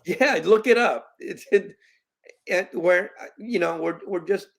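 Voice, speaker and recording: A man in his late fifties, a guest in an interview, talking earnestly in halting bursts with many restarts. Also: sits high. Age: 50s. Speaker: guest, parent. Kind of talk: conversational interview.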